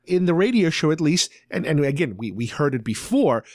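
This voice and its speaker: A man's voice: fairly high pitch, sing-song, fairly quick, no room sound, studio quality. A man co-hosting a podcast, casually recapping a story in an explanatory, conversational way. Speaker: podcast co-host.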